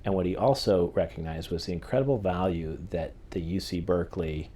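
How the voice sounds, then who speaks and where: A man's voice: deep voice, brisk, dark tone. A man hosting an interview, asking questions through a conversational anecdote. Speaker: interviewer, host.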